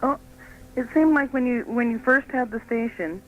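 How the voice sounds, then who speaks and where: A woman's voice: fairly high pitch, moderate pace, phone-quality sound. An American woman caller talking casually over the telephone on a radio phone-in.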